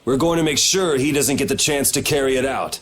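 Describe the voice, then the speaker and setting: A man's voice: mid-range voice, a little fast, a little hiss or hum, close to an everyday voice. A man voicing a blunt, resolute young swordsman in a video game, with music behind him. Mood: firm, resolute.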